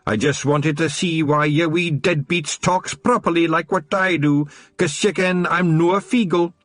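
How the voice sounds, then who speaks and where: A man's voice: a little high, fairly even intonation, measured pace, a bit bright, dry recording, clean studio sound, voice pushed up. A man voicing a tiny Scottish fairy watchman, stubborn, earnest and easily indignant. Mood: gruff.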